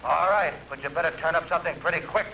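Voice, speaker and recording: An actor with an American accent playing a demanding police chief under pressure, blustering and impatient, in old-time radio drama style. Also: male voice, fairly high pitch, fast, gravelly. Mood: irate, pressuring. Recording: dull, noisy old radio recording.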